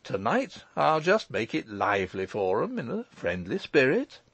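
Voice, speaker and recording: Male narrator as self-assured gentleman lawyer, brash, forceful, animated pitch, teasing, mock-pompous storybook character. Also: moderate pace, vocal fry, slightly rough, no room sound, dull audio, exaggerated voice. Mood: gleeful. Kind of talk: audiobook character voice.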